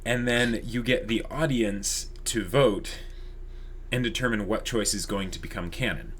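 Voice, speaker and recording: A man's voice: fairly low pitch, measured pace, fairly bright tone, a little hiss or hum. A man co-hosting a channel, talking in a casual, unscripted way. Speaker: YouTube channel co-host.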